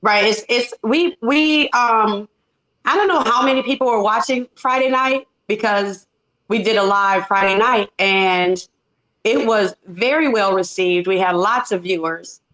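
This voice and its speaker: A woman's voice: mid-range voice, a little slow, a bit bright, poor audio. A woman in her forties from Detroit, a singer chatting as a guest over a video call. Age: 40s. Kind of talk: casual video call interview.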